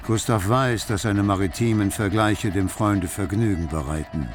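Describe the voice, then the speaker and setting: An actor playing the wryly observant narrator of a literary radio play about city life. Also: male voice, on the low side, moderate pace, natural voice. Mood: wry narration. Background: music.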